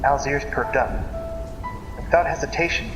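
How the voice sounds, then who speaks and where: A man narrating a scripted story in a theatrical, deliberate manner, like an old-time radio serial. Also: medium pitch, muffled recording. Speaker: narrator of a dream story series. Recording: echoey reverberant hall, loud music behind. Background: music.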